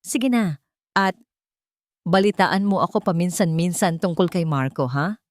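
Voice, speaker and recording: A woman's voice: on the low side, a little slow, a little mellow, dry recording, studio quality, close to an everyday voice. A woman voicing an insistent, coaxing older-sister type in a romance story.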